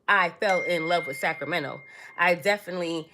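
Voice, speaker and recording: A woman's voice: lowish voice, a little fast, background noise. A woman, born in Trinidad and raised in New York City, chatting casually to viewers on her own livestream and calling them darling and baby. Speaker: livestream host.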